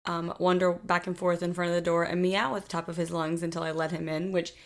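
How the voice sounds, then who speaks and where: Slightly hoarse woman podcaster. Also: on the low side, little pitch movement, fast talker. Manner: chatty, laughs often, uses fillers like "um" and "like". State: slightly hoarse, losing her voice. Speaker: podcast co-host. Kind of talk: casual chat. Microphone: home recording setup.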